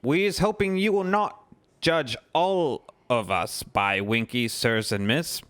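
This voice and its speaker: A man voicing a meek, apologetic male house elf servant with a squeaky voice and broken grammar. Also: slow pace, a bit bright, no echo. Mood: ashamed.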